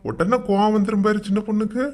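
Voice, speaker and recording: A man's voice: high-pitched, little pitch movement, fast talker, a little mellow, lightly acted. A man playing a teasing, grumbling village husband in a cartoon comedy, with a Kanyakumari Tamil accent.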